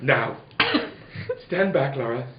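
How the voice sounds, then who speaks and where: A man's voice: medium pitch, animated, slow. A man playing a grave, desperate scientist father facing his planet's doom in a 1940s-style radio drama. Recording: roomy, dull, noisy room recording.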